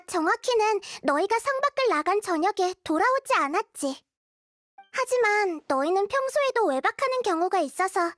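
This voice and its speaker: A woman voicing a small, wise, gentle girl deity in a video game, speaking in a calm, thoughtful, explanatory way. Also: very high voice, dry recording, studio quality, heavily acted.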